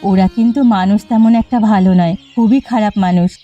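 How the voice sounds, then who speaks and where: A woman's voice: mid-range voice, fairly even intonation, brisk, mellow, dull audio, faint music behind, close to an everyday voice. A woman voicing a humble, worried village woman in a cartoon, speaking earnestly. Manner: earnest, pleading. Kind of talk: cartoon voice acting.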